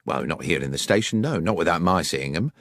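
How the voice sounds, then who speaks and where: Audiobook station master, acted by a man: deep, respectful, orderly, plain-spoken, dry close sound. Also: animated, brisk, a bit bright, dry recording, full character voice. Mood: matter-of-fact. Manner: plain, dutiful, sure of himself. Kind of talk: audiobook character voice.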